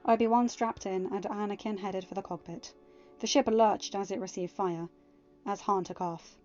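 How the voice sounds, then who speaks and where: A woman's voice: mid-range voice, fairly even intonation, moderate pace, mellow, muffled recording, soft background music. Woman reading a fan story aloud. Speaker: fanfiction audiobook reader. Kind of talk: storybook narration, casual outro chat.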